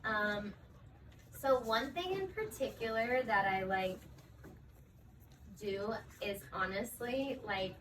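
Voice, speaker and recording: A woman's voice: mid-range voice, takes it slowly, long pauses. A woman, a professional ballet dancer, answering interview questions in a casual, chatty way, full of "like" and "um". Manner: chatty, frequent fillers like "like" and "um". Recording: distant mic with steady background noise.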